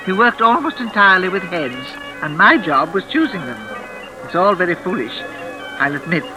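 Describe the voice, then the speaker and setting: An actress playing a lonely, mysterious old gentlewoman in a 1940s radio suspense play, formal, precise and insistent. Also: on the low side, very animated, normal speed, poor audio. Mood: courteous, probing. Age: older. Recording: vintage radio drama with music behind.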